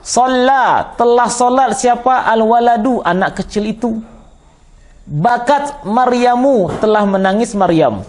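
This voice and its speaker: A man's voice: extremely high pitch, expressive, measured pace, darkish tone. A man with a Minangkabau Indonesian accent teaching a grammar class, explaining step by step and quizzing his listeners. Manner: didactic, repeats and quizzes listeners. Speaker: Islamic teacher of Arabic grammar. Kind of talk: classroom lesson, explaining with questions to students.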